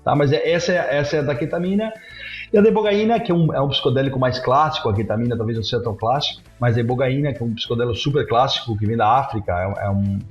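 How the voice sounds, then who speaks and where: A man's voice: mid-range voice, racing, faint music behind. A man from São Paulo, answering interview questions animatedly with frequent restarts and checking tags. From São Paulo, Brazil. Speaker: guest, psychedelic clinic CEO. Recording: roomy, dull remote audio.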